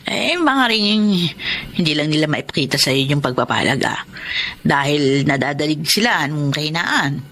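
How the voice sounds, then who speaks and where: An actress playing a calm, understanding confidant who gives moral counsel in a radio-style drama. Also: female voice, low voice, normal speed, heavily acted. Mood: consoling.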